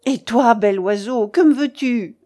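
A woman voicing an imperious, quick-tempered old grandmother in an audiobook, blunt and scolding. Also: a little high, big pitch swings, a little mellow. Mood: furious, scornful. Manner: commanding, exclaiming, scolding. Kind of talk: audiobook character voice.

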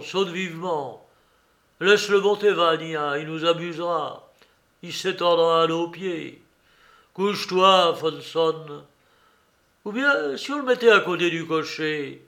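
A man voicing a boisterous, mocking old father who shouts and jeers theatrically. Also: fairly high pitch, voice pushed up, exaggerated voice. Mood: gleeful shouting.

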